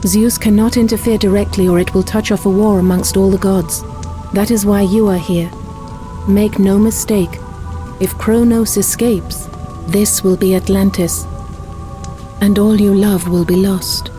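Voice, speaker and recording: A woman voicing a solemn, wise goddess in a video game, telling myths in a measured, portentous way. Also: mid-range voice, steady tone, dark tone, close to an everyday voice. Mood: grave, warning. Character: solemn, wise goddess guiding a mortal hero. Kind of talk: scripted dramatic narration of myth. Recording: music behind the voice.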